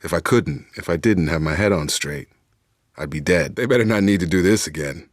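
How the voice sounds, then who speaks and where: A man playing a steady, guarded sci-fi soldier in a video game, plain-spoken and tough with clipped military delivery. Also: deep voice, a little fast, no echo, studio quality. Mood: calm, firm.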